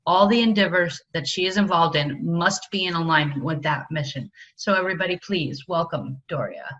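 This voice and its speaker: A woman hosting, reading a formal prepared introduction of a guest in a measured way. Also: on the low side, a bit bright, muffled recording.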